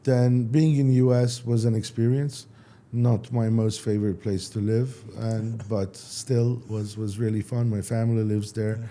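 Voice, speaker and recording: Man, very dark tone. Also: lowish voice, flat delivery. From Iran. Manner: halting, restarts, non-native phrasing. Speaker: startup founder, guest. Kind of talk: casual interview answers.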